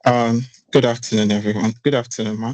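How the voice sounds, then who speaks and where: A man from Nigeria speaks as a webinar attendee, warm in his thanks and rambling as he works up to a question. His voice sits at an ordinary middle pitch, and the sound is close and dry with no room echo. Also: little pitch movement, a little fast. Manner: effusive and grateful, rambling. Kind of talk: casual spoken question in a webinar.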